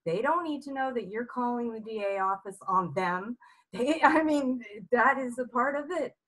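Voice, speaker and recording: A woman hosting an online group meeting, rallying and excitable, losing her voice a little. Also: fairly high pitch, moderate pace, poor audio. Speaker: meeting host.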